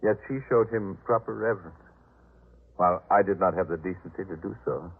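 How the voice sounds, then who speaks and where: A man's voice: deep voice, brisk, breathy edge. A man playing a proud householder, earnest and theatrical, in a 1950s radio drama. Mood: remorseful. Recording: muffled, tinny 1950s radio recording.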